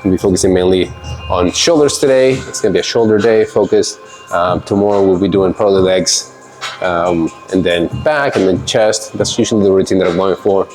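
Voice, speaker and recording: A man's voice: sits low, brisk, soft background music. Congested man chatting through a workout. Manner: relaxed, rambling, with fillers and laughs. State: congested from a cold. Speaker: fitness vlogger. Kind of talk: casual workout vlog talk.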